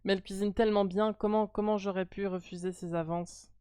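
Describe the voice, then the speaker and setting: A woman's voice: medium pitch, fairly even intonation, brisk, dark tone, clean tone, dry recording, studio quality. A woman with a French accent, hosting a live stream and chatting casually, blunt and playfully teasing.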